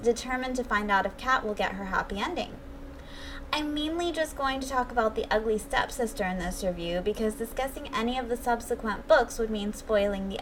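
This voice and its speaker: A woman's voice: a little high, fairly bright tone, a little hiss or hum, low-quality recording. A woman narrating a scripted review as a channel host, speaking articulately in full, read-aloud sentences.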